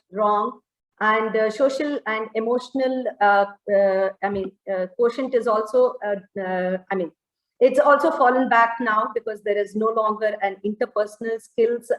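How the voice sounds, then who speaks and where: A woman with an Indian accent speaking off the cuff as an education panelist, in a halting way with frequent self-corrections. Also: on the high side. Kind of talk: extempore panel talk on education. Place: indoor room, online webinar. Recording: roomy, echoing online call audio.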